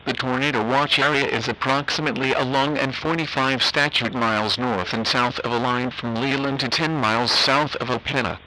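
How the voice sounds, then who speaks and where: A man reading a scripted emergency weather bulletin in a formal, measured, impersonal way. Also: medium pitch, fairly even intonation, pushed and bright, rough recording. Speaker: weather alert announcer.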